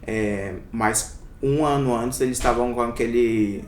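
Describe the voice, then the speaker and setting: A man's voice: a little slow, recorded from a distance. Man talking thoughtfully in an echoey room. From Brazil. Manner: reflective, many hesitations and fillers. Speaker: interview guest, doctor. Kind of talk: casual interview. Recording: echoey room, somewhat off-mic, faint rumble.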